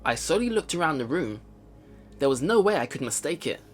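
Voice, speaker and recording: A man's voice: fairly high pitch, very animated, fairly quick. A man hosting a let's play, reading game narration aloud and reacting casually. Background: game music.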